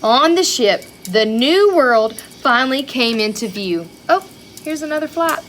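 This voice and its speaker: A woman reading a picture book aloud to young children in an expressive way, with chatty asides and questions to her listeners. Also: high-pitched, unhurried, a little hiss or hum. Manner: expressive, child-directed, with rhetorical questions and exclamations.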